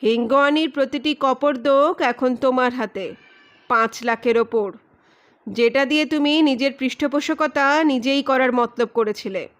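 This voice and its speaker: A woman playing a commanding, sardonic male detective who makes deliberate, authoritative accusations in an audio story. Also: high voice, lightly acted. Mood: stern, accusing.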